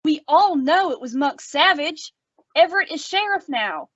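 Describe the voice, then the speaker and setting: Woman as eager, high-voiced western boy. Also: animated, moderate pace, fairly bright tone, dry recording, dull audio. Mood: curious, earnest. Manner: wide-eyed, inquisitive. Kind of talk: old-time radio western acting.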